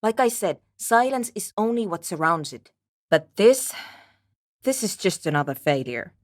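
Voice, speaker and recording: A woman's voice: medium pitch, sing-song, normal speed, no room sound, studio quality, close to an everyday voice. An actress playing a weary, obsessive and prickly woman programmer in a video game, with a terse, precise way of talking. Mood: weary, defeated. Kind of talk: dramatic game dialogue.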